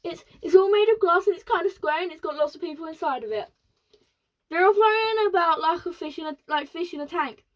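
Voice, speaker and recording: Girl doing a freaked out astronaut guy, high and yelling fast. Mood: panicked. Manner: excited, shrill, tumbling words. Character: panicky male astronaut observer.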